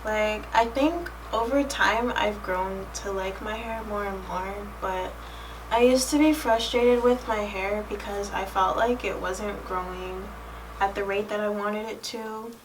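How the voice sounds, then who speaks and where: Soft, girlish young woman vlogging. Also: mid-range voice, steady tone, a little slow. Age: young adult. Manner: soft-spoken, candid. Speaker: lifestyle vlogger. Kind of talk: personal casual chat to camera. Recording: distant mic, faint room noise.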